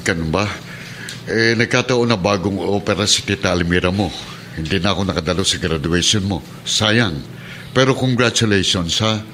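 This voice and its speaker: An actor in a Filipino radio drama playing a subdued, respectful policeman and family man, measured and a little hesitant. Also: deep voice, sharp tone, slight background noise. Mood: warm, apologetic.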